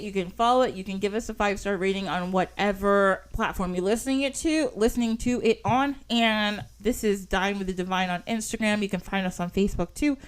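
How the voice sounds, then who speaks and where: A woman's voice: mid-range voice, clean tone. A woman hosting a podcast, talking in a chatty, casual way with lots of fillers and frequent giggles, sometimes reading from an article. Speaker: podcast host.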